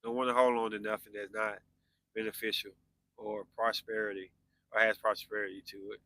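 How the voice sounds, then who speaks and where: A man's voice: fairly low pitch, level tone, a little fast, sharp tone, no room sound, poor audio. A man in his forties hosting a podcast, chatting casually with live viewers and answering their comments. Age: 40s. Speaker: podcast host.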